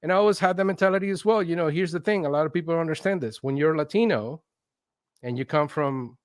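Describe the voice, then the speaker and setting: A man's voice: a little high, quick pace, dry recording. Man telling his story, dry close sound. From Venezuela. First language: Spanish. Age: late 40s. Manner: animated self-quoting, often checks in with "right?". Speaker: interview guest, consultant in instructional design. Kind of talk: conversational personal storytelling.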